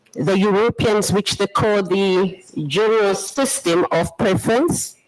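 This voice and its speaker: Slow-paced Zambian presenter, sex unclear. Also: mid-range voice, rough recording. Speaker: government trade official and presenter. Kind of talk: formal slide presentation.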